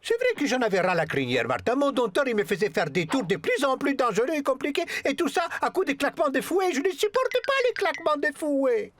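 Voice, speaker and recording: An actor voicing a grandiloquent, pleading circus lion in a French cartoon. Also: male voice, extremely high pitch, sing-song, fairly quick, hardly any pauses, a bit bright, dry recording, heavily acted. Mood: pleading, dramatic. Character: escaped male circus lion, theatrical, flattering, gluttonous, self-pitying.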